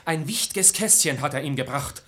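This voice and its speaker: An actor playing a loyal, earnest young suitor, speaking urgently in a verse radio play. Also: male voice, on the high side, animated, moderate pace, fairly bright tone. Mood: urgent, anxious.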